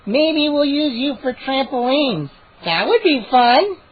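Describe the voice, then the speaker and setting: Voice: high-pitched, expressive, slow, narrow, tinny audio, pitched above the performer's own voice. Cocky little gnome, mocks and grins through every line; reader does the voice, audiobook. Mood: gloating. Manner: taunting, mocking grin in the voice. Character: gleeful mischievous male garden gnome.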